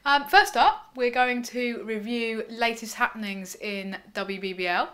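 Woman chatting sport on a podcast, croaky from a cold but bright sounding. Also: fairly high pitch, unhurried. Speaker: podcast host. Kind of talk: casual cricket discussion.

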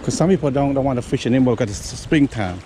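A man giving excited running commentary while he fishes from a riverbank, talking to himself and repeating words. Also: medium pitch, fast talker. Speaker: fishing vlogger. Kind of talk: live commentary while fishing. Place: outdoors on a riverbank. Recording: outdoor recording with steady background noise.

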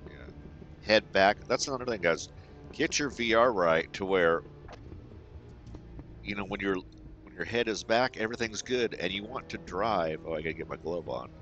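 A man's voice: low voice, normal speed, long pauses, a bit bright, muffled recording, faint music behind. A man giving casual commentary to viewers while driving a racing sim at home, sometimes mumbling and trailing off as he adjusts settings.

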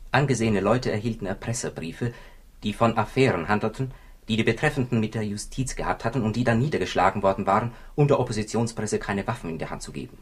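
An actor playing a weary, gossipy police commissioner in a 1960s radio crime drama, husky and confiding. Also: male voice, lowish voice. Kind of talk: 1960s German-language radio drama acting.

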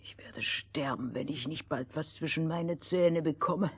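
Woman as a moaning, husky, ravenous little man. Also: low voice, unhurried, heavily acted. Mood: miserable.

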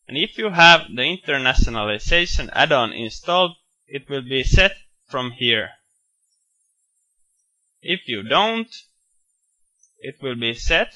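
A man walking through a software screencast, explaining each step in a steady, matter-of-fact way with bits of enthusiasm. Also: mid-range voice, poor audio. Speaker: software tutorial presenter. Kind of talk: screencast walkthrough narration.

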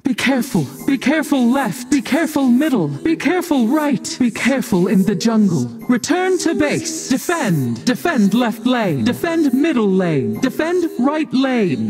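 A woman's voice: on the high side, very animated, a little slow, few pauses, music in the background. A woman playing a cold, otherworldly chaos goddess in a video game, speaking in a solemn, commanding, detached manner. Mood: commanding, urgent. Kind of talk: video game character voice lines.

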